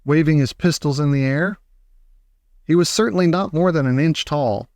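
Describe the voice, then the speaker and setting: Higher-voiced man narrating a storybook. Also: moderate pace, a little mellow, dry recording, studio quality. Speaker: audiobook narrator. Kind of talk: expressive children's storybook narration.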